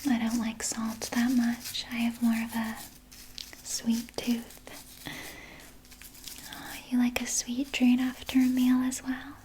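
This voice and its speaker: A woman voicing a sly, doting vampire nurse in a breathy, soothing whisper for an ASMR roleplay. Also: fairly high pitch, completely flat delivery, slight background noise, poor audio. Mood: playfully sinister. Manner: slow, soothing, coaxing, softly articulated. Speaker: ASMR performer.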